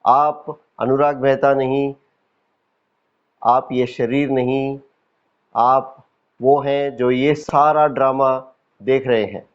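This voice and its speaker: Slow-paced Indian man guiding meditation. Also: mid-range voice, highs cut off. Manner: calm, instructive, with deliberate pauses and repetition. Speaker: meditation teacher. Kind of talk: guided yoga nidra meditation instruction.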